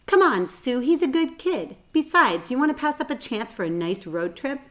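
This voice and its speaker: A woman's voice: high-pitched, very animated, normal speed, fairly bright tone, pitched above the performer's own voice. A woman voicing an easygoing, drowsy male animal companion in a children's storybook, with a folksy, coaxing manner. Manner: folksy, coaxing, calls others kid. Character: Bernie, easygoing drowsy male grub-eating animal pal. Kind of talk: children's storybook read-aloud.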